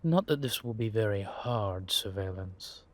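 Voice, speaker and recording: A man playing a sly, scheming vampire spy in an audio roleplay, husky and theatrical, savoring his words in drawn-out musings. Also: lowish voice, expressive. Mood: wry, relishing. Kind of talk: scripted audio roleplay monologue addressed to a companion.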